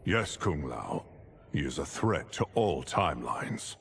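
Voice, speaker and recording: A man's voice: extremely low pitch, big pitch swings, a little slow, a little hiss or hum, exaggerated voice. A man playing a wise, weary leader in a video game cutscene, solemn and formal. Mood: grave regret.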